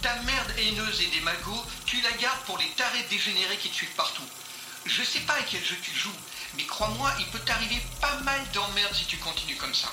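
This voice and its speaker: A man's voice: high-pitched, animated, fairly quick. A man playing a hard, contemptuous interrogator in an audio drama, barking menacing, insulting orders. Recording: echoey room with loud music. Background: loud music.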